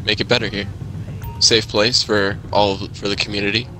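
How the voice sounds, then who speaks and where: Man playing guarded, low-voiced settlement leader. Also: level tone, brisk, sharp tone, soft background music, rough recording. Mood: matter-of-fact. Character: guarded, firm male leader of a zombie-apocalypse settlement. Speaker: player in a roleplay skit. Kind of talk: improvised game roleplay dialogue.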